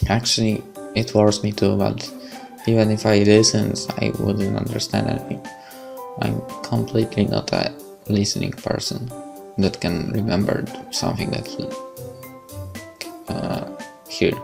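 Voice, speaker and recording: A man's voice: on the low side, expressive, unhurried, vocal fry, pauses often, over music. A young man with a Polish accent, reading a visual novel aloud and chatting, stumbling over words. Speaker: let's play host.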